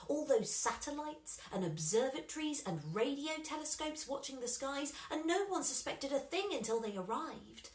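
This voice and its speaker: A woman reading a children's story expressively, with dramatic pauses and emphasis. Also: fairly high pitch. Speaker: story reader. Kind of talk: scripted children's story narration. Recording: roomy, echoing room sound.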